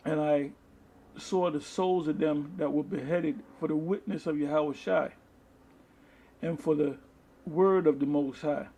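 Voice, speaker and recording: A man preaching from the Bible, reading verses aloud and explaining them in a halting, deliberate manner, with a husky, gravelly voice. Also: on the high side, warm tone. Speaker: street-style Bible preacher. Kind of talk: Bible teaching with scripture read aloud and commentary.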